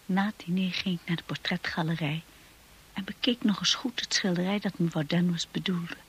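An actress playing a timid, earnest young wife who tells her own story in a radio drama, with a husky, breathy voice. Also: lowish voice, steady tone, fairly quick, poor audio. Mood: wistful narration. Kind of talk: radio drama acting and first-person narration.

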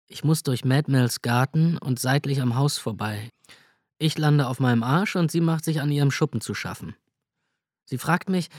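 An actor performing a radio drama monologue, a writer narrating in the first person with a sardonic, scornful tone. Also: male voice, mid-range voice, darkish tone, no echo, clean studio sound.

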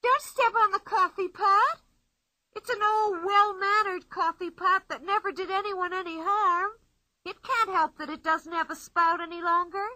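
A bold, imaginative little girl with a very high, oddly stretched voice. She sounds bright and lively. The recording is close and dry. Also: dry recording, heavily acted, poor audio. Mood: playful. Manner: high, strange, drawn-out girlish voice. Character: bold, playful young girl, wildly imaginative. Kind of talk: storybook reading.

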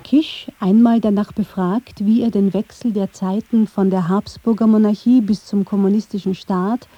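A woman reading scripted narration for a radio feature in a measured, literary way. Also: mid-range voice, fairly even intonation, mellow, husky, no echo, highs cut off. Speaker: documentary narrator.

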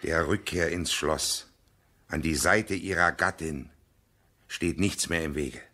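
An earnest moralizing go-between in a German radio drama. The voice is low, and the delivery is declamatory and admonishing, full of firm opinions. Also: male voice, slow pace, pushed and bright, full character voice. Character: earnest, opinionated male mediator and moralizing family friend. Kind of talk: German radio play acting.

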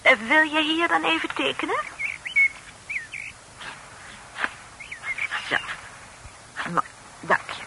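A woman's voice: high-pitched, sing-song, moderate pace, creaky, exaggerated voice. An actress playing an indignant, fretful middle-aged lady of the house in a period radio drama, often repeating her words. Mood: brisk, polite. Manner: stammering, repeating words when agitated. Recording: noisy old radio play recording.

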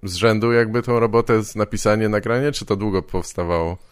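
A man hosting a casual podcast interview, asking informal questions in a halting way. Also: fairly low pitch, clean tone, no echo, studio quality.

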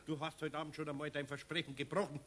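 An actor playing a grumpy, no-nonsense middle-aged craftsman in a children's radio play, scolding in a husky voice with a Bavarian accent. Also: on the high side, flat delivery, fast, a bit bright, slight background noise, lightly acted. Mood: stern threatening. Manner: grumbling, scolding.

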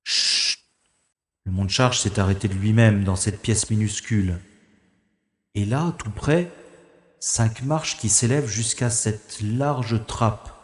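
An actor playing a wry special agent who narrates in first person with dry, understated quips. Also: male voice, fairly low pitch, slow. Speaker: hero and narrator. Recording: music score playing underneath.

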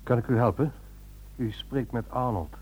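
An actor playing a weary, guilt-ridden husband, earnest but strained, in a radio play. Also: male voice, medium pitch, normal speed, noisy background, lightly acted. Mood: strained, defensive. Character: weary, guilt-ridden, evasive devoted husband, helpline volunteer. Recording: dull, lo-fi old radio recording.

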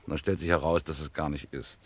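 A scheming gentleman crook with a notably deep voice. He speaks in pompous, carefully placed phrases and patronises his henchman. The delivery is that of a vintage radio crime drama. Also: fairly even intonation. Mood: mock-pitying. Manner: grandiloquent, patronising, deliberate phrasing. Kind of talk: vintage German radio crime play acting.

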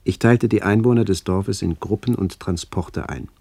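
A man playing an older narrator who recalls his village childhood in a radio play, measured and reflective, with a gravelly voice. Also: low-pitched, a little mellow, no echo, heavily acted. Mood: somber, remembering. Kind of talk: literary first-person radio play narration.

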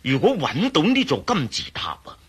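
An actor playing a talkative, cautious archaeology professor in a radio drama, with a Hong Kong Cantonese accent and hesitant, muttering delivery. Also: male voice, a little high, huge pitch swings, normal speed, fairly bright tone, husky, heavily acted. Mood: explaining, musing. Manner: hesitant, mumbling fillers, explanatory.

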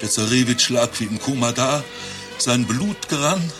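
An actor playing an eccentric doctor who claims to be Rasputin reborn, telling his tale in a deadpan, grandiose way in a satirical radio play. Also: medium pitch, normal speed, over music, lightly acted. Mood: grandiose, storytelling. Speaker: doctor recounting his past life.

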